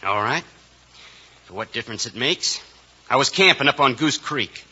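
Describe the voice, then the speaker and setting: An actor playing a resentful, defensive ex-convict cowboy with an American accent, curt in 1950s Western radio style. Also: male voice, mid-range voice, animated, moderate pace, sharp tone, breathy edge, heavily acted. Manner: curt, defiant. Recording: muffled old radio recording.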